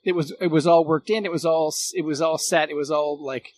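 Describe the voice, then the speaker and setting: A man's voice: on the high side, fairly even intonation, fairly quick. A man chatting casually as a guest, reminiscing earnestly and often rephrasing as he goes. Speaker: guest, former radio producer.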